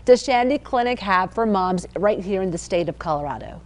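A woman's voice: medium pitch, animated, brisk, a little mellow. A woman hosting a morning TV show in the studio, running a sponsored interview with an emphatic, bubbly presenter delivery.

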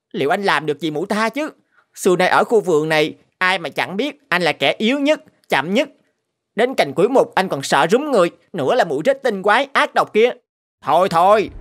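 A woman's voice: medium pitch, close to an everyday voice. A woman voicing timid, anxious young cattle in a cartoon, speaking in a fretful, dramatic way. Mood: scornful disbelief. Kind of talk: animated fairy-tale dubbing.

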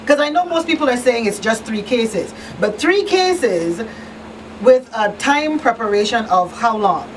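A woman's voice: on the high side, expressive, a little slow, slight background noise. Informal woman hosting, slightly distant mic. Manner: informal, thinks aloud. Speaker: radio call-in show host. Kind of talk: casual, conversational interviewing. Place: small radio studio. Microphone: studio mic on boom arm.

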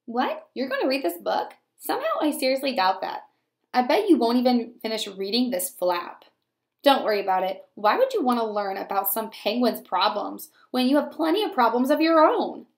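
A grumpy, bored little penguin from a picture book, as a woman reads it aloud. The voice sits fairly high and sounds sarcastic and put-upon, dismissing the listener. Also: big pitch swings, normal speed. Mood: grumpy, dismissive.

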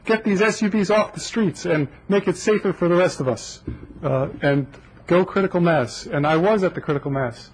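A man's voice: sits high, normal speed, slightly rough, low-quality recording. A young man making an insistent public comment at a city council meeting, listing facts into a podium microphone in a council chamber. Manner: earnest, insistent, lists facts.

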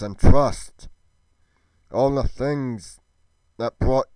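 Man as a slow, deferential informant. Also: medium pitch, darkish tone, no echo, poor audio. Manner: formal, halting, archaic speech. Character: deferential male informant reporting to his lord. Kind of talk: amateur game roleplay drama.

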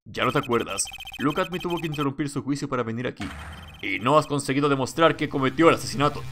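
A man's voice: mid-range voice, expressive, fast talker, over music, natural voice. A man voicing a smug, cool, unyielding male prosecutor in an amateur reading of a courtroom video game. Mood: smug, stern.

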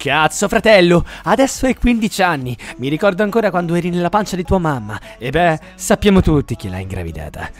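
A man's voice: high voice, very animated, a little fast, hardly any pauses, faint music behind. A man recording a crude joking message, swearing in a mock-paternal manner.

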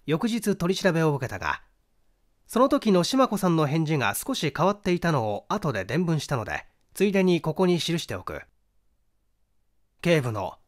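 A man reading a scripted mystery novel as narrator, in a measured, formal and precise manner. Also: on the high side. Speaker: audiobook reader, stage actor.

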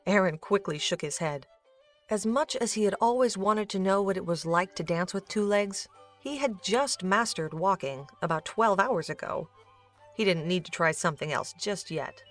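A woman's voice: mid-range voice, lively intonation, normal speed, soft background music. A woman giving scripted third-person narration of a story as the narrator.

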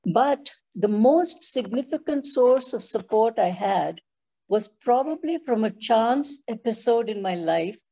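A woman in her seventies from India, a Tamil speaker, reading a prepared conference talk in a measured, deliberate way. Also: on the high side, expressive, vocal fry, warm tone, dull audio. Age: 70s.

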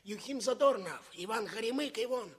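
A rough village henchman in an old radio drama. His voice is very high and husky, that of a bully who bows to officers. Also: lively intonation, brisk, fairly bright tone, full character voice. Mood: servile, informing. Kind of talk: 1960s Soviet radio drama acting.

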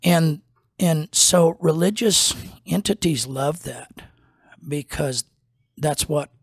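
An older man, a pastor and podcast host, teaching in a slow, rambling way with many fillers and restarts, on a studio mic in a small wood-paneled room. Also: fairly high pitch, gravelly, breathy edge, no echo. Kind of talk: unscripted teaching talk. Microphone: studio mic on a boom arm.

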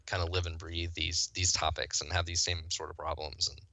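A man's voice: low-pitched, flat delivery, a little fast, pushed and bright, dry recording. A man from the US Midwest, a guest on a podcast, explaining his product casually and with enthusiasm. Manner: fluent, enthusiastic explaining with restarts. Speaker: guest, software company founder.

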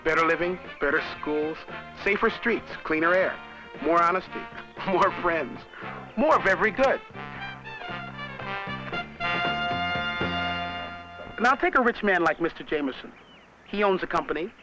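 A man's voice: fairly high pitch, moderate pace, bright, forceful tone, soft background music, rough recording. A young American man playing a cheerful college student who narrates a 1970s educational film in a folksy, chatty way. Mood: folksy, upbeat. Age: young adult. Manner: folksy, chatty, addressing the viewer. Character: cheerful young college student guiding viewers round his street. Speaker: on-camera narrator.

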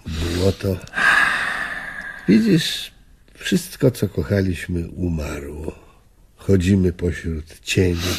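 Weary old man, deep voice, slow delivery, melancholy radio drama. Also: darkish tone, exaggerated voice. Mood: bleak melancholy. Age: older. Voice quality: husky.

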